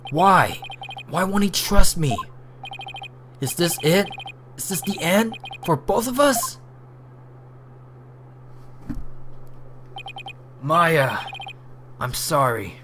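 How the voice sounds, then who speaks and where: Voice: mid-range voice, very animated, slow pace, long pauses, background noise. A game player voicing an earnest young male defense lawyer while reading game dialogue aloud, pleading and sincere. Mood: despairing. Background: game music.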